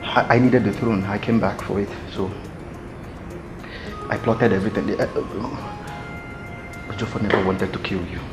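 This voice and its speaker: A man's voice: lowish voice, fairly even intonation, music in the background, close to an everyday voice. An actor playing a scheming prince who stammers as he speaks. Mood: remorseful. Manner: stammering, halting.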